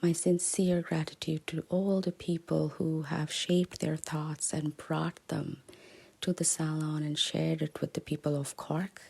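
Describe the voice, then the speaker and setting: A woman hosting a podcast, giving closing remarks and thanks to listeners in a soft, half-whispered way. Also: low-pitched, flat delivery, measured pace, gravelly, slightly rough. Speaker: podcast host.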